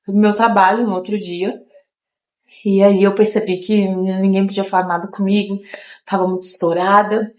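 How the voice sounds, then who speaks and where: A woman's voice: mid-range voice, flat delivery, moderate pace. A young woman from Brazil chatting casually to her viewers, a little nasal from a cold and often repeating herself. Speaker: vlogger. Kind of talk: casual chat to camera. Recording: echoey room with faint guitar music.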